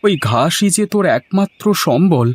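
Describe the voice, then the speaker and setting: A man's voice: high-pitched, lively intonation, moderate pace. A man voicing a poor, caring village farmer in a moral-story cartoon, earnest and plaintive.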